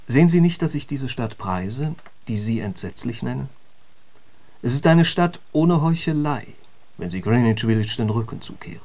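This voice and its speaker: An actor as an eloquent intellectual holds forth in a literary radio play. His middle-pitched male voice is emphatic and declamatory, with the bite of a polemicist. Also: animated, normal speed, darkish tone, lightly acted. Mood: fervent. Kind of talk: literary radio drama monologue.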